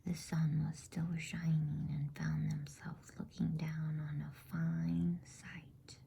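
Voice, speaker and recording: A woman reading a bedtime story in a soothing, breathy ASMR whisper with deliberate pauses. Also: deep voice, little pitch movement, warm tone. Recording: faint background noise.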